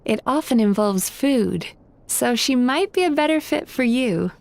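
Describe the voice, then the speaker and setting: Poised, high-voiced, courteous proprietress. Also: female voice, animated, a little slow, gravelly, no room sound. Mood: reassuring. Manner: polite, composed, formal. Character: poised, courteous female dream-eater spirit, calm bathhouse proprietor. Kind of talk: video game voice acting.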